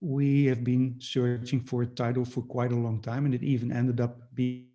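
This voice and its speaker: A male moderator speaks in a mellow, even tone, with little rise and fall. He offers measured remarks and relays questions, adding an occasional "uh". It comes through a video call. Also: medium pitch. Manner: measured, reflective remarks with fillers. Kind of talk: moderating a panel discussion.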